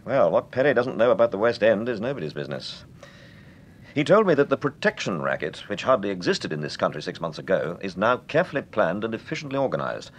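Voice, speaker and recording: A man with an English accent playing an urbane, composed, probing gentleman detective in an old-time radio drama. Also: very animated, fast talker, highs cut off. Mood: explanatory. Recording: noisy radio recording with low rumble.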